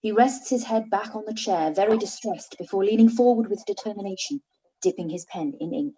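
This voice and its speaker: A woman narrating stage directions in a live-read radio play, in a plain, even delivery. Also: mid-range voice, fairly quick, slight background noise, poor audio.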